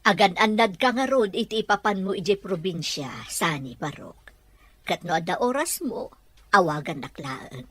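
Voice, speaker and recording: A woman playing a caring, curious, welcoming elder woman in a dramatized audio story, with a husky voice. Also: lowish voice, animated, moderate pace, no room sound.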